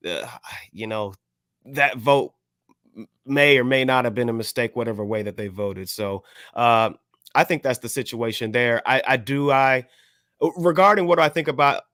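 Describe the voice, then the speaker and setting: Smooth clear american guy on a podcast, careful measured talker, very dry close sound. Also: medium pitch, a bit bright, no echo. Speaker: podcast co-host. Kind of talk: casual discussion.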